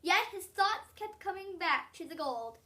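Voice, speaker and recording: A young child with a high, bright voice reads a story aloud. The delivery is expressive, leaning on certain words and drawing them out. The voice sounds a little way off from the microphone. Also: a little slow. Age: child, about 8. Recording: distant from the microphone.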